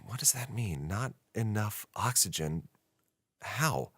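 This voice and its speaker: A man's voice: lowish voice, expressive, slow pace, fairly bright tone, no echo, exaggerated voice. A man with an American accent playing an earnest, frustrated 1960s reporter who narrates a brooding audio diary in a radio drama. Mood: matter-of-fact, frustrated. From United States.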